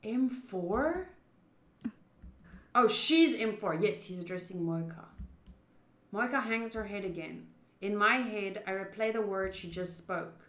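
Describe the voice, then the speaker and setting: A young woman with an expressive, chatty manner, reading a visual novel aloud and commenting as she plays. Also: medium pitch, a little slow, pauses often. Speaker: streamer playing a visual novel. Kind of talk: gaming commentary, reading story text aloud. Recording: roomy, echoing voice.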